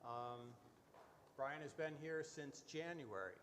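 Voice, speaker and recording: A man's voice: medium pitch, lively intonation, slow pace, fairly bright tone. A man hosting a live radio variety show in a conversational, hesitant manner. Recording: echoey hall with faint noise.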